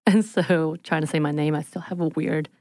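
A playful woman co-hosting a podcast, with a slightly low voice and a giggly, casual way of talking. She grew up speaking Korean. She speaks into a studio microphone. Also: fairly even intonation, normal speed, warm tone. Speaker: podcast co-host.

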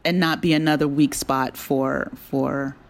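A woman being interviewed as a drama creator, talking casually with an animated, enthusiastic manner. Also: a bass voice, unhurried, vocal fry.

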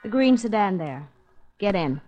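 A woman playing a cool, menacing young gunwoman in a 1940s radio drama, controlled and softly threatening. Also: medium pitch, very animated, measured pace, dull audio. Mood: cool menace. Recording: noisy old radio recording.

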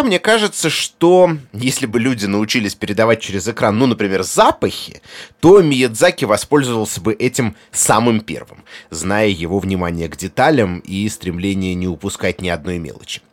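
A man's voice: on the low side, sing-song, moderate pace. A man presenting a scripted explainer in an erudite but conversational way, addressing the listener directly with rhetorical asides. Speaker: host and narrator of a music explainer.

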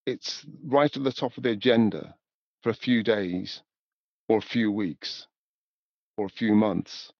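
An older man speaks reflectively in an interview. His delivery is measured and thoughtful, peppered with "you know", in a mid-pitched voice. The recording is dry and a little dull. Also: no echo. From north of England, born in Newcastle. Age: 70s. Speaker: podcast guest, music industry professional.

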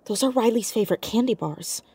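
A woman playing an earnest, methodical teenage girl sleuth in a naturalistic audio drama, hushed and a little nervy. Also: fairly high pitch, lively intonation, brisk, a little hiss or hum, lightly acted. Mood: urgent, hushed.

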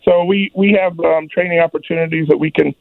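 Man, fire official, even monotone, creaky fry, unscripted interview, lo-fi sound. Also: on the high side, fairly quick, no echo. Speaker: assistant fire chief. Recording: dull band-limited interview clip.

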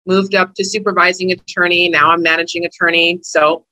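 Brooklyn woman in her thirties, very bright tone, dry close sound, chatty interview guest. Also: lowish voice, level tone, a little fast, no echo, low-quality recording. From Brooklyn, New York. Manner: friendly and chatty, with easy laughs. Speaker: guest, housing attorney. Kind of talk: interview conversation.

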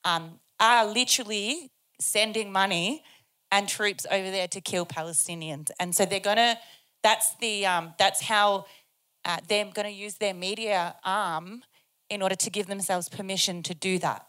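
A woman's voice: medium pitch, normal speed, sharp tone, clear, resonant tone. A woman speaking at a community forum, passionate, searching for words and restarting her sentences. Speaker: panel speaker.

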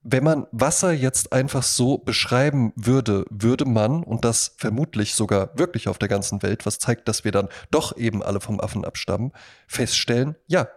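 A man's voice: mid-range voice, normal speed. A man co-hosting a podcast, chatting casually in a rambling way with frequent repeats and tag words. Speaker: podcast co-host. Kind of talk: casual podcast chat with anecdotes.

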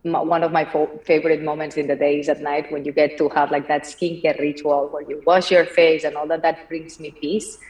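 A woman's voice: deep voice, flat delivery, fairly quick, hardly any pauses, a little mellow, recorded from a distance, low-quality recording. A woman from Barcelona with a Spanish accent, a company founder answering podcast interview questions in a thoughtful way with many fillers. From Spain, Barcelona.

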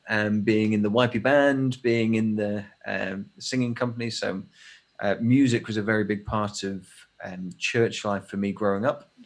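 A man's voice: fairly low pitch, vocal fry. An English man in his thirties, a podcast guest talking casually and thoughtfully, with many fillers and restarts. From England. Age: 30s. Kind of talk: casual conversation.

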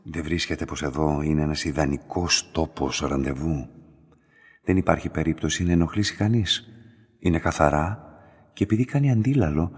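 An actor playing an enigmatic, courteous laboratory director in a radio drama, formal, deliberate and softly insinuating, with a husky voice. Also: male voice, low-pitched. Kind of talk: slow dramatic radio acting. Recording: long reverberant room echo.

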